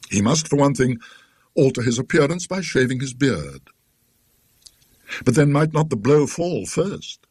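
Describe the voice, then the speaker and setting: A man's voice: medium pitch, breathy edge, no echo. A British man narrating a classic ghost story in a dry, scholarly, theatrical manner.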